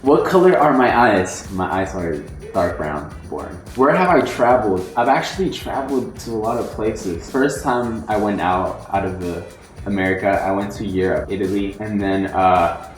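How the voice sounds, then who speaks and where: A man's voice: on the low side, expressive, normal speed. A young man and native Spanish speaker, chatting casually through a Q&A vlog at home with rambling "like" fillers. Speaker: social media influencer. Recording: roomy echo with faint music.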